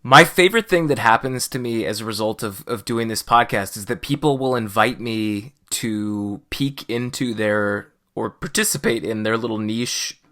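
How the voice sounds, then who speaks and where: A man's voice: fairly low pitch, normal speed, hardly any pauses, sharp tone. A man hosting a podcast in casual conversation, explaining things in a conversational way. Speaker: podcast host.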